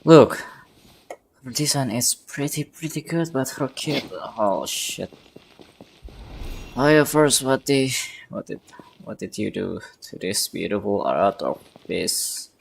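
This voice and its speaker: A man's voice: medium pitch, animated, slow, vocal fry, pauses often, slight background noise. A man giving casual live commentary on a game, reacting bluntly and swearing freely. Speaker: game streamer. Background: video game music and sounds.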